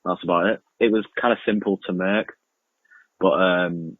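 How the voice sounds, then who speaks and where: Deep-voiced, husky young man. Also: fairly even intonation, moderate pace. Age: young adult. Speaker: interview guest, amateur music producer and podcaster. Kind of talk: casual radio interview answers. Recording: muffled lo-fi, roomy echoing remote link.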